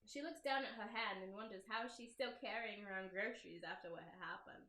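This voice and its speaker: A woman doing a casual let's play, reading game text aloud and commenting in an expressive, slangy, giggly way. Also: medium pitch, moderate pace, echoey room, low-quality recording. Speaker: game developer playing through her own game.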